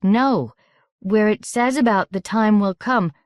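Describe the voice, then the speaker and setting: A woman's voice: medium pitch, slow pace, smooth, no echo, clean studio sound, pitched above the performer's own voice, lightly acted. A woman voicing a guarded, unsure teenage girl who speaks in a hesitant, questioning way. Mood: wary.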